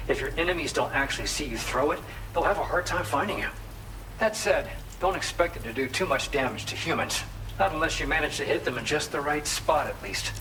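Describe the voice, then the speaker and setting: A man's voice: medium pitch, far from the mic, background noise, natural voice. A man playing an earnest, friendly researcher in a video game, explaining things in a chatty way. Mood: enthusiastic. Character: earnest male researcher at a remote observatory, friendly.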